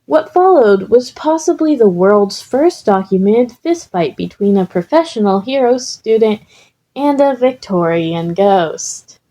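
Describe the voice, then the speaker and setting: A woman's voice: medium pitch, animated, measured pace, warm tone. A woman reading a comic story and chatting casually as the host. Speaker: fan fiction reader and host. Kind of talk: comic story narration, then casual chat.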